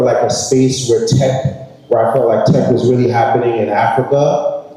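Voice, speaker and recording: Male guest speaker, New York accent, easygoing speech to graduates, soft dark tone, heard off-mic in a big reverberant hall. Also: mid-range voice. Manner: relaxed, conversational, addresses the audience directly. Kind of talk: public speech at a graduation ceremony. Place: large ceremony hall. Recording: echoey hall, distant mic.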